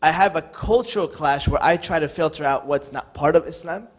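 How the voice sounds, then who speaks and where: A man's voice: on the high side, fairly quick. A man from the audience earnestly asking the panel a long question, thinking aloud as he goes.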